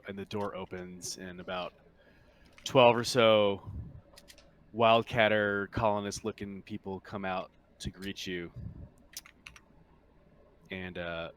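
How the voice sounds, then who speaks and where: A man improvising narration as the game master of a tabletop roleplaying game, haltingly searching for words. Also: on the low side, a bit bright, smooth.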